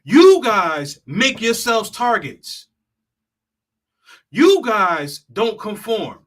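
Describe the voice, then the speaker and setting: Blunt, bright-voiced man lecturing hard. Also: high-pitched, sing-song, drawn-out words. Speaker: travel consultant and vlogger. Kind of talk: heated straight-talk monologue.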